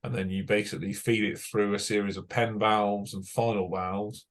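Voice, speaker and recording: A man's voice: fairly low pitch, level tone, moderate pace, fairly bright tone. A young British man giving an informal technical talk to a radio club as a broadcast engineer, chatty and self-correcting with many fillers. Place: club meeting room.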